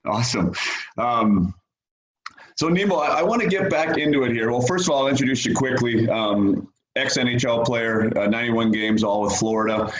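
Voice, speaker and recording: Halting male podcast host, echoey room. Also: mid-range voice. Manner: halting, many fillers and restarts. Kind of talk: casual interview.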